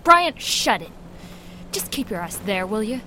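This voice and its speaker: A woman's voice: mid-range voice, sing-song, measured pace, sharp tone, a little hiss or hum, rough recording. A woman playing a hot-tempered, foul-mouthed young vampire slayer in a radio drama, curt and defiant. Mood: irritated.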